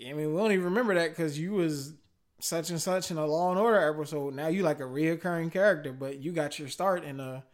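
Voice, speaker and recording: A man chatting casually about music and movies in a slangy, animated way, laughing often. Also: on the high side, fast talker.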